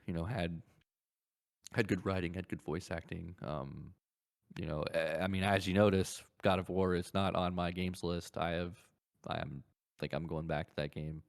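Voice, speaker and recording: Casting: male podcast co-host, low-pitched voice, blunt and informal, comfortable cursing in loose gaming chat. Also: steady tone, normal speed, vocal fry, no room sound, studio quality. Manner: blunt, casual swearing.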